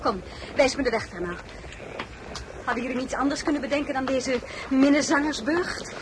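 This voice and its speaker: An actress playing a marshal's affectionate, resolute young wife in an emotional, intimate radio drama style. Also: female voice, sits high, moderate pace, background noise, natural voice. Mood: resolute, impatient.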